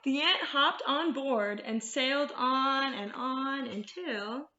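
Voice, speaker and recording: Slow, high-voiced woman reading to children. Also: slight background noise. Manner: expressive, encouraging. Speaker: children's librarian, storytime host. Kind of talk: storytime read-aloud and teaching rhymes to children. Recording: echoey room, slightly muffled sound.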